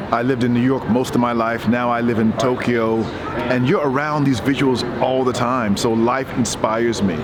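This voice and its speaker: A man giving casual interview answers, easygoing and repeating words as he thinks. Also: mid-range voice. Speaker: interview guest. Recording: loud background noise.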